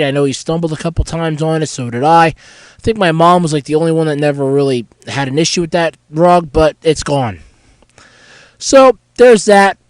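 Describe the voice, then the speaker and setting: A young man hosting a casual solo podcast while sick with a cold, hoarse and stuffy, rambling candidly about his week. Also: a little high, no echo. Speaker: podcast host.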